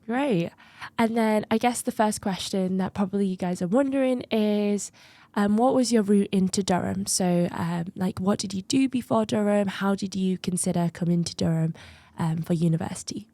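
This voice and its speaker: A young woman hosting a casual student interview, asking brisk friendly questions. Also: medium pitch, mellow, dry recording. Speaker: student host.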